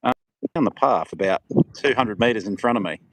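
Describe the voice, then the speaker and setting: A man's voice: lowish voice, normal speed, fairly bright tone, slight background noise, low-quality recording. Man, South Australian accent, laid-back, easygoing, outdoors chat. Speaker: livestream co-host. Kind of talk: casual livestream chat. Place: outdoors in a cemetery.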